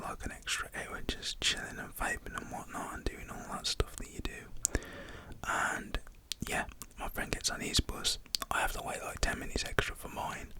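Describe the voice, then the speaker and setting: A young man telling a story in a casual, rambling whisper, full of fillers.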